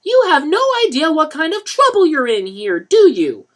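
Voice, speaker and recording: Stern middle-aged mother, lecturing, exasperated, raised high, as a woman reads her. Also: wildly swooping pitch, normal speed. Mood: scolding.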